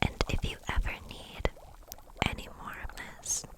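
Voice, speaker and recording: A woman playing a gentle, kindly kitchen witch in an ASMR roleplay, speaking in a soft, soothing, nurturing whisper. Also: moderate pace, close to an everyday voice.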